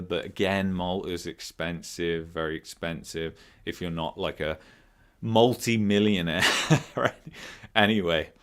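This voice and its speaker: Hoarse, deep-voiced man hosting a live Q&A. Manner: rambling, loses his train of thought, chuckles often. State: hoarse from a sore throat. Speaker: finance channel host. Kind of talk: live stream Q&A, casual.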